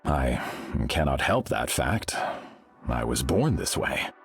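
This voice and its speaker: A man's voice: a bass voice, big pitch swings, slow, breathy edge, faint music behind, full character voice. A man playing a solemn, stately and philosophical game hero who speaks formally and with measure. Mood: grave, formal. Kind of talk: video game character dialogue.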